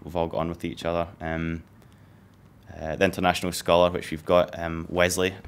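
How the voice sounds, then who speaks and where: Man, team leader; deep, smooth, monotone, calm. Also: a little fast. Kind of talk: casual interview answers.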